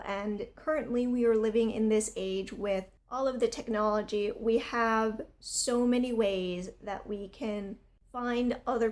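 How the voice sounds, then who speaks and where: A young woman from the United States with a strained, hoarse voice, chatting casually to camera in a rambling, earnest way. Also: medium pitch. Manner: rambling, earnest, with many fillers. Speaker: vlogger.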